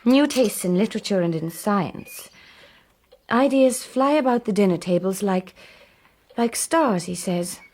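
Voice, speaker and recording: An actress playing a gentle, thoughtful young gentlewoman in a period radio drama, speaking in a measured, tender way. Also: mid-range voice, lively intonation. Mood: wistful.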